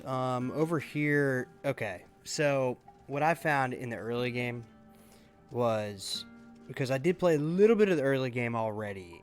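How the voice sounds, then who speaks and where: A man giving casual, halting gameplay commentary as a YouTuber, thinking aloud as he goes. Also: medium pitch. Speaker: gaming YouTuber.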